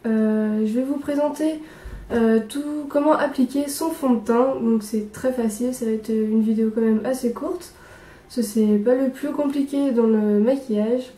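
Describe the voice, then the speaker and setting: A young woman with a hoarse, cold-roughened voice, hesitantly talking through a makeup tutorial. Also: on the high side, fairly even intonation, dark tone, distant mic. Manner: hesitant, many pauses and restarts. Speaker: beauty vlogger. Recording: echoey room, away from the mic.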